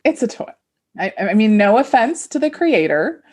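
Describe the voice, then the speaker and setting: A woman's voice: a little high, very animated, moderate pace, a little mellow. A middle-aged woman co-hosting a podcast, chatting casually and reminiscing in an informal, reflective way. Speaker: podcast co-host. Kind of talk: casual chat.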